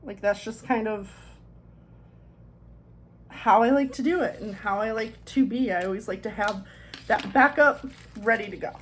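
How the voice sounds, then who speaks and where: A woman's voice: mid-range voice, moderate pace, long pauses, a little hiss or hum. A woman chatting casually as she decorates a planner, her voice a little thick from swollen tonsils, rambling in self-deprecating asides. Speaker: planner vlogger.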